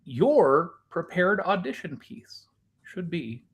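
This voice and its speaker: A man's voice: high-pitched, sing-song, slow. A man co-hosting a podcast, talking in a casual, conversational style. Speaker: podcast co-host. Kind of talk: casual chat.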